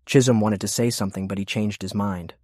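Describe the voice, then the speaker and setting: A man's voice: lowish voice, steady tone, fairly quick, darkish tone, dry recording, clean studio sound. A man reading scripted fiction as an audiobook narrator.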